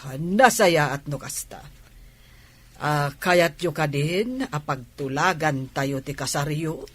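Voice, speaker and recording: Husky, slow-talking older auntie played by an actress. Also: female voice, low-pitched, lively intonation, dry recording, heavily acted. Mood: probing, eager. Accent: Ilocano. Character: older well-off matchmaking aunt eager for grandchildren. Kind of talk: radio drama acting.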